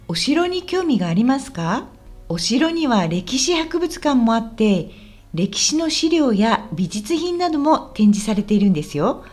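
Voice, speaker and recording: Woman playing high-voiced, lively, helpful tour guide. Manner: polite, explanatory, clearly enunciated. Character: friendly, helpful woman guiding a visitor around Osaka. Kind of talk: scripted language-lesson radio drama.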